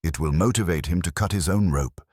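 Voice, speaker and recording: A man voicing an imperious, eloquent detective genius in an audiobook, coolly confident, measured and formal. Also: sits low, darkish tone, breathy edge, no echo, clean studio sound. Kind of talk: audiobook character dialogue.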